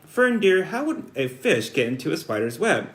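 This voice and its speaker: A man's voice: on the high side, big pitch swings, normal speed, fairly bright tone, voice pushed up. A man reading aloud as a worried, stern farm mother in a storybook, scolding and anxious in manner.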